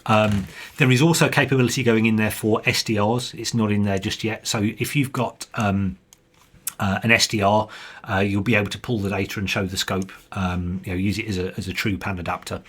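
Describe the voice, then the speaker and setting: Male software developer for an informal demo; fairly low, slightly husky voice, explanatory with halting phrasing. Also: fairly even intonation. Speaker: software developer and guest demonstrating his program.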